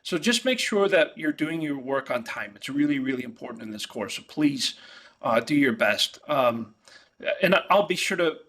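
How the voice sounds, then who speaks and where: A man from New York with a New York accent, whose first language is Italian, giving a halting virtual-class lecture as an economics professor, full of fillers and restarts. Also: mid-range voice, expressive, a bit bright. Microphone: video call.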